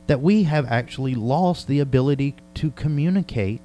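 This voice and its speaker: A man's voice: mid-range voice, normal speed. A man hosting a casual radio interview in a joking, self-deprecating way. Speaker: radio show host. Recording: steady electrical hum.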